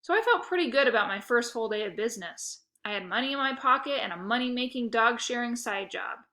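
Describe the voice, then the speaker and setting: A woman reading a children's chapter book aloud in a lively storytelling manner. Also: fairly high pitch, fairly quick. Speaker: reader.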